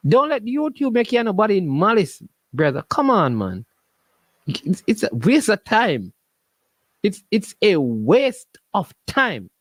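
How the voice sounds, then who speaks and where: Casting: male Jamaican call-in host with a high-pitched voice, casual and joking, recorded dry. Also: unhurried, dry recording. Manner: animated, jocular, repeats phrases. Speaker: host of a sports call-in show. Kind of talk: casual live call-in chat in Patois.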